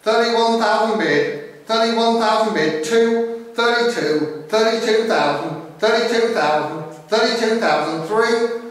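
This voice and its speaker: A man's voice: high-pitched, unhurried. A middle-aged man calling a live property auction in emphatic, repetitive patter, recorded at a distance in an echoey room. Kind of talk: live property auction calling with rapid repeated bid chant. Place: indoor auction room at a lectern. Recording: very echoey room, far from microphone.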